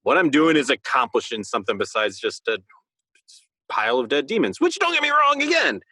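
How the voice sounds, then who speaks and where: A man co-hosts a gaming podcast with very lively pitch that swings up and down. His talk is casual and chatty, recorded dry and close. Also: brisk, no room sound. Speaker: podcast co-host.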